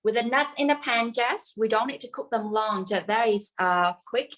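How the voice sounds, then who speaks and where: A woman's voice: a little high, steady tone, moderate pace, a bit bright. Vietnamese-accented woman teaching cooking. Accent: central Vietnamese. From central Vietnam. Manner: simple, non-native English phrasing with dropped words. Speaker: cooking class instructor. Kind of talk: informal live cooking instruction.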